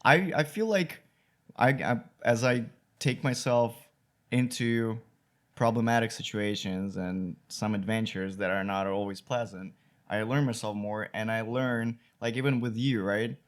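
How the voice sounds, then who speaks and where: A man's voice: on the low side, fairly even intonation, a little slow. A young man from Ukraine with a Ukrainian accent, chatting candidly and easily as a podcast guest. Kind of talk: casual chat.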